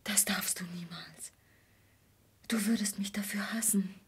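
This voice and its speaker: Radio drama heroine: frightened but devoted young woman, extremely breathy, hushed, hesitant. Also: medium pitch, measured pace. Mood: pleading. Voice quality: breathy, whispery. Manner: hushed, hesitant, brief replies. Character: anxious, devoted young woman hiding her fear.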